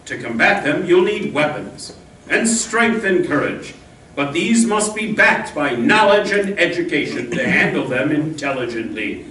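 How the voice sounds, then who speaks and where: Radio Western hero, played by a woman: noble masked lawman, earnest, declamatory, unhurried delivery. Roomy stage, distant mic. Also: sits low, lively intonation, fairly bright tone, a little hiss or hum. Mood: earnest, resolute. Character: steadfast, noble masked male Texas Ranger hero. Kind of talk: old-time radio Western acting.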